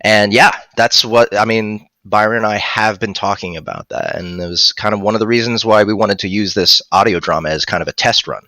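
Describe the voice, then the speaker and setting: A man's voice: lowish voice, brisk, hardly any pauses, bright, forceful tone, dry recording. A man in his thirties chatting casually as he co-hosts a live stream, speaking into a headset mic. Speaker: audiobook narrator and producer co-hosting a live stream. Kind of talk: casual live-stream discussion, reading out viewer questions. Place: indoor room at home.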